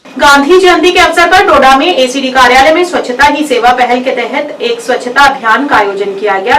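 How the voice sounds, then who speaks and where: A woman anchor giving a formal, even news report. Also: high voice, fairly quick. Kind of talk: scripted news reading. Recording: echoey, distant mic, faint hiss.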